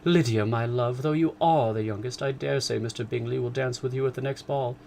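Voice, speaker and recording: A man voicing an excitable, complaining middle-aged mother, raised well above his own voice with emphatic swoops. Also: mid-range voice, a little fast, creaky, slight background noise, pitched above the performer's own voice, natural voice. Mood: gushing.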